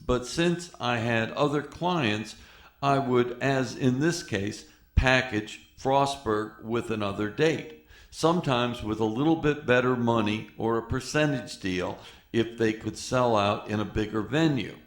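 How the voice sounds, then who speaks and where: Male narrator, measured and unhurried, middle register, noticeably roomy recording. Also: medium pitch. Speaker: narrator introducing archival tape. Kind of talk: retrospective spoken narration.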